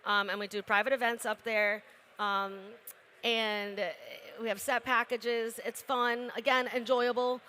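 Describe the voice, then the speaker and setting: A young adult woman, restaurant co-owner, chatting quickly with laughs and fillers. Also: fairly high pitch, flat delivery, pushed and bright, slight background noise. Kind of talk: casual interview chat.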